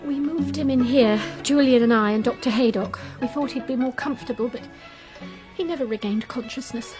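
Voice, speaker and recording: High-voiced, fretful English vicar's wife played by an actress. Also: female voice, a little fast, music in the background, close to an everyday voice. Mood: subdued, earnest. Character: kind, fretful younger woman, caring vicar's wife. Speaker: radio drama actress. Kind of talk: British radio drama acting.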